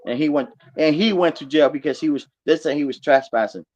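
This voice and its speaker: A man's voice: on the high side, darkish tone. Puerto rican guy on a stream call, stumbly talk, muffled audio. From Puerto Rico. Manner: halting, repeats words. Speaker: panel guest. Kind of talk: casual chat.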